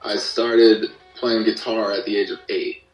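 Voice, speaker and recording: A man speaking as an interview guest in casual chat, haltingly rephrasing as he tells his own story. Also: on the low side, fairly even intonation. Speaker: podcast guest, guitarist. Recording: roomy, distant mic, faint music.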